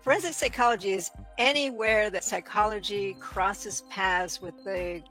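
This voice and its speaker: A woman who is a forensic psychology professor, answering interview questions in a clear, matter-of-fact, explanatory way. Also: mid-range voice, normal speed, fairly bright tone, faint music behind, poor audio.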